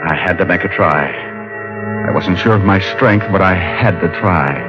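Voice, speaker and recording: An actor playing a wry, tough private detective in a 1940s radio drama, with clipped, deadpan delivery. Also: male voice, deep voice, normal speed, close to an everyday voice. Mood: grim, tense. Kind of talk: 1940s radio acting, hard-boiled narration. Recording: dull old radio recording with music.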